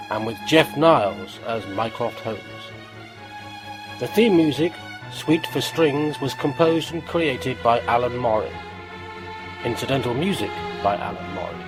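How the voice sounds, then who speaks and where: A man reading production credits in a steady, formal way. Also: mid-range voice. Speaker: credits announcer. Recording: music underneath.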